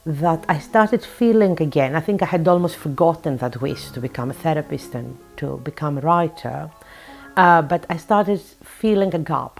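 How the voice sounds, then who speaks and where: A woman's voice: deep voice, gravelly, a little mellow. A woman in her forties from Greece, an author and psychotherapist, answering interview questions in a reflective way with frequent ums. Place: her office.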